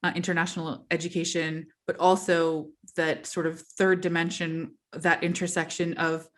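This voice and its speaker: A woman's voice: on the low side, flat delivery, moderate pace. A woman hosting a podcast in a casual interview, asking long, rambling questions full of asides. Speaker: podcast host.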